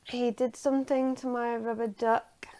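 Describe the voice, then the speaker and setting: A woman retelling a story from memory in a halting, hesitant way, searching for her words. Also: on the high side, flat delivery, mellow.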